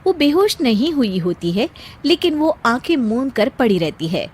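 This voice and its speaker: A woman's voice: a little high, very animated, moderate pace, darkish tone, husky. A woman narrating a scripted cartoon story as a storyteller.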